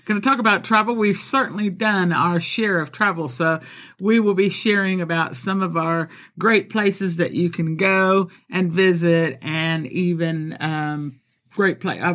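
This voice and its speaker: Chatty high-voiced man co-hosting a podcast. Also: fairly bright tone. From born in Texas, raised in Colombia. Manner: chatty, laughs often, many fillers. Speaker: podcast co-host. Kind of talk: casual podcast chat.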